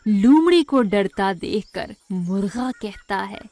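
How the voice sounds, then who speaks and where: A woman's voice: medium pitch, animated, slow, mellow, dry recording. A woman narrating a scripted moral story for children.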